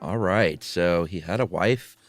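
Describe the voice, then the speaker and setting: A man's voice: low-pitched, measured pace. A man co-hosting a podcast, reading a horror short story aloud with casual asides, with music behind him. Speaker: podcast co-host.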